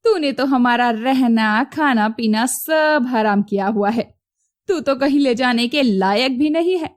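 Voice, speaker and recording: Woman playing slow, scolding mother-in-law. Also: fairly high pitch, natural voice. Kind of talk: animated moral-story dialogue.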